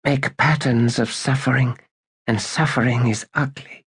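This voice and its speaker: A solemn, starving young girl voiced by a male audiobook narrator recites in a raised, dreamy voice. The delivery is slow and incantatory, like verse from a bleak fantasy story. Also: medium pitch, fairly even intonation, a bit bright, slightly rough, pitched above the performer's own voice, full character voice. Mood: sad, hushed.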